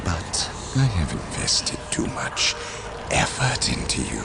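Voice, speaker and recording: A man voicing an arrogant, menacing demonic villain in a video game, gravelly and theatrical, with slow contempt in his delivery. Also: very deep voice, wildly swooping pitch, very noisy, heavily acted. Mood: smug, menacing. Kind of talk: dramatic video game cutscene acting. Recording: loud music behind the voice.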